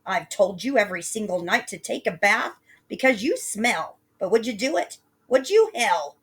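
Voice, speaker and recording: A woman voicing a poor, greedy mother who scolds loudly in a raised voice, a little husky. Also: sing-song, normal speed, heavily acted. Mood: loud scolding. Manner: loud, shrill scolding.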